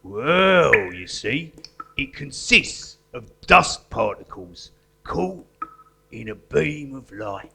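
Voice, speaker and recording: A man playing an earnest, deluded artist in prison in a live stage comedy, matter-of-fact and deadpan. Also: medium pitch, slow pace, vocal fry, breathy edge, heavily acted. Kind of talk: live comedy theatre acting. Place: live performance in a museum. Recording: noisy live stage recording.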